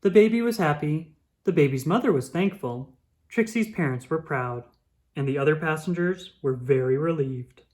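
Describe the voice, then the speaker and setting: Female storyteller for picture-book read-aloud: low register, highly animated, dramatic emphasis. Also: moderate pace. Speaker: children's book reader.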